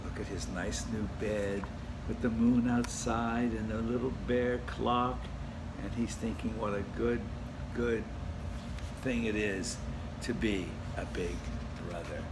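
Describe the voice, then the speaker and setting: Male reader for kids' storybook, mid-pitched, expressive with chatty asides, audible background noise. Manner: dramatic, addresses listeners.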